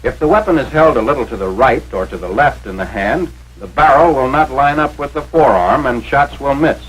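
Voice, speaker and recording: Husky American man, 1940s training-film narrator. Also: mid-range voice, animated, fairly quick, fairly bright tone, low-quality recording.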